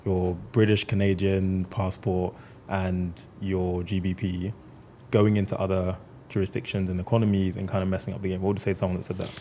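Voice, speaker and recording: A man's voice: deep voice, level tone, a little fast, dark tone, a little hiss or hum. A man hosting a podcast, asking long, reflective questions in a thoughtful way. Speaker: podcast host. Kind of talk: conversational podcast interview.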